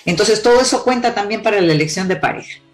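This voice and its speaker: A woman's voice: medium pitch, fast talker, soft background music. A woman, born in Cuba and raised in Peru, giving emphatic relationship advice as an interview guest. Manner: emphatic, lively storyteller with sweeping declarations.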